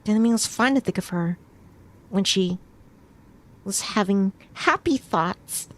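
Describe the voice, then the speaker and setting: A woman's voice: mid-range voice, measured pace. A woman streamer reading a visual novel aloud as story narration, now and then stumbling over a word and rereading it. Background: music behind the voice.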